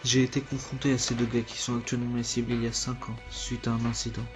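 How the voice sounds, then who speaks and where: A man's voice: medium pitch, monotone, quick pace, music in the background, close to an everyday voice. A man in an amateur French anime dub playing a grave secret agent who narrates. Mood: grave, reflective. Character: grave adult male secret agent recounting a manhunt.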